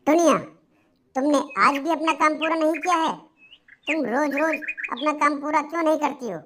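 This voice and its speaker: A stern schoolmaster bird in a cartoon tale, acted out by a woman. The voice is fairly high and authoritative, with clear noise and birdsong in the background. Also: fairly even intonation, moderate pace, lightly acted. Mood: scolding. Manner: scolding, authoritative.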